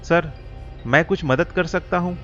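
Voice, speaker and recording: A man plays a humble new factory hand in a cartoon moral tale. His voice is a bit high, deferential and earnest, with music clearly audible behind it. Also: measured pace, fairly bright tone, lo-fi sound. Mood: polite, earnest. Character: humble, polite, earnest male factory newcomer. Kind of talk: cartoon story dialogue.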